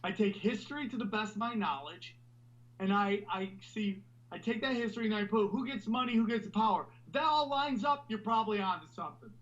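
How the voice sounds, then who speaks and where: Loud high-voiced man ranting. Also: a little fast, highs cut off. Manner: loud, animated, rambling. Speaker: podcast host. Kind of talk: casual podcast chat.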